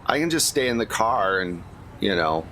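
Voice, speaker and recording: A man chatting casually as a tabletop game player, halting and repeating words as he goes. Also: lowish voice, very animated, bright, forceful tone, a little hiss or hum.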